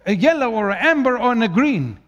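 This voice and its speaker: A man from South Africa with an Afrikaans accent preaching a sermon, swinging between loud declaiming and quiet, low asides. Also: sing-song, fairly quick, dull audio.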